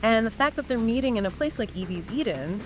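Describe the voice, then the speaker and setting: A woman's voice: a little high, animated, a little fast, a little hiss or hum, rough recording. A woman co-hosting a podcast, talking in an analytical, agreeable conversational way. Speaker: podcast co-host.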